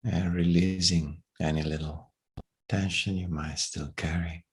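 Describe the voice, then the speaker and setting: Very deep, husky man guiding meditation. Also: flat delivery. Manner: hushed, unhurried with long pauses. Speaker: meditation guide. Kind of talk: guided meditation.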